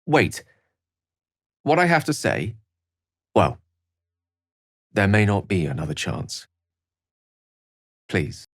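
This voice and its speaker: An actor playing a learned, courtly wizard in a fantasy video game, theatrical and articulate, with formal, earnest phrasing. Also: male voice, lowish voice, sing-song, takes it slowly, pauses often, dry recording, heavily acted. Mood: tender, earnest. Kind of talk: scripted fantasy game dialogue.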